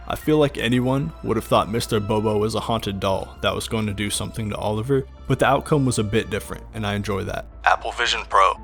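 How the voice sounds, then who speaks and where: Low-voiced man giving relaxed video commentary. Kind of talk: casual commentary over video clips.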